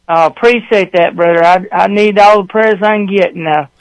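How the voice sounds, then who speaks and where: High-voiced man calling in. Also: steady tone, no room sound, low-quality recording. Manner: halting, many fillers and restarts, sincere. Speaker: radio show caller. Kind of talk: casual phone-in talk. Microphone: phone line.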